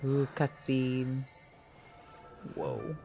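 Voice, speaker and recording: Unhurried man reacting to a game. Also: medium pitch, sing-song, mellow, faint music behind. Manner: sparse, offhand exclamations. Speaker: gameplay streamer. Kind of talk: casual reactions while playing.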